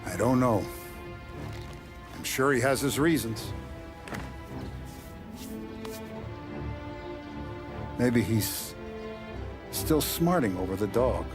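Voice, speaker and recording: An actor playing a grave, world-weary older mafia boss in a dramatic game cutscene. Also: male voice, medium pitch, animated, slow pace. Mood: weary, regretful. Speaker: crime boss. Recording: music behind the voice.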